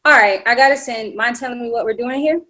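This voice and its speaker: A woman plays a teenage girl who is fiery and tough. Her voice runs a bit high, in the manner of a script table read. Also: quick pace, bright, forceful tone. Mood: indignant.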